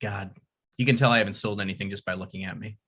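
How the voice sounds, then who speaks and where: A man's voice: lowish voice, fairly even intonation, fast, sharp tone, slightly rough. A man reads a script at a table read. He sounds hesitant and self-deprecating, stumbling and restarting his sentences.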